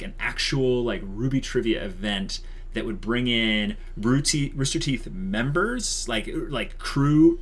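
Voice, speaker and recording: A man's voice: medium pitch, a bit bright, husky. A young man co-hosting a channel announcement, speaking casually and conversationally with plenty of likes and you-knows. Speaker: channel co-host.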